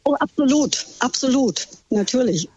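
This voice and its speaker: A woman's voice: high-pitched, animated, normal speed, darkish tone, husky, no room sound. A woman tour guide calling in to a radio show by phone from a ship, cheerful and a bit hesitant with fillers. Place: on a ship at sea. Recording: dull phone line.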